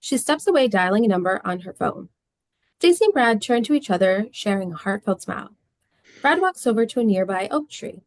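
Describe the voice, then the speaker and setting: A woman's voice: medium pitch, animated, brisk, no room sound. Woman reading stage directions, very dry sound. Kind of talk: scripted narration at table read.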